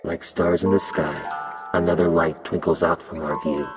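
A man narrating an audio drama in a measured, solemn way. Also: low-pitched, level tone, close to an everyday voice. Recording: narration over clear music.

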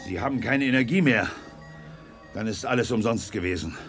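An actor playing a hot-tempered, impatient army general in a sci-fi audio drama. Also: male voice, fairly low pitch, fairly quick, fairly bright tone, faint music behind, close to an everyday voice. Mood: gloomy, frustrated. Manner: impatient, commanding.

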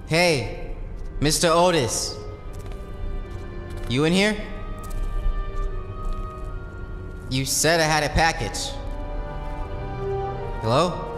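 An actor playing an earnest, hot-blooded young hero in an audio drama. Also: male voice, a little high, slow, pauses often, fairly bright tone. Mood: searching. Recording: echoing garage-like space, faint music.

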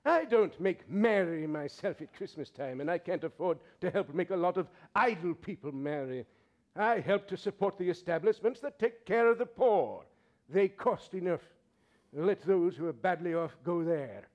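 Casting: bitter old male miser, high-pitched, very animated, grumbling and contemptuous, radio-play style. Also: normal speed, lo-fi sound. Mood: cold contempt. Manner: grumbling, contemptuous, with mocking laughs. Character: bitter old miser, scornful and snappish businessman. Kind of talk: live staged reading of 1930s radio drama. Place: theatre stage, live performance.

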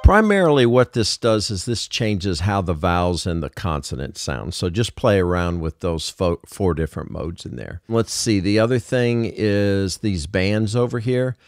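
A man's voice: sits low, expressive, normal speed, hardly any pauses, no echo. A man narrating a casual music production tutorial, explaining things informally step by step.

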